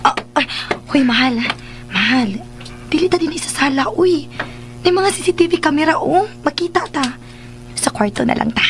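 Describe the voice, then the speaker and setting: An actress with a Cebuano accent playing a playful, affectionate wife in a radio drama. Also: female voice, high-pitched, expressive, moderate pace. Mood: teasing. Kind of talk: Filipino radio drama acting. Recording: noisy, vehicle-like background sound.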